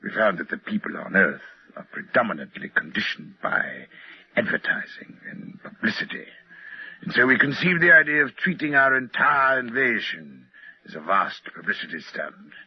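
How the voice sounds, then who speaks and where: An actor playing a suave, coolly formal Martian invader in human disguise, gloating and deliberate, in a 1950s radio drama style. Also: male voice, medium pitch, very animated, breathy edge, exaggerated voice. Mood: smug explaining. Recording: muffled old radio recording.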